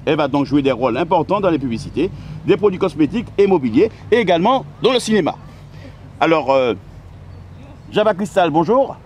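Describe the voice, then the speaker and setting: Man, interview host, slightly high voice, halting delivery, outdoors with faint street and bird noise. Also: lively intonation, a little mellow. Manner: friendly, halting with frequent "euh" fillers. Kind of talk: casual interview. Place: outdoors in a city park. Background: faint outdoor ambience with birds and traffic.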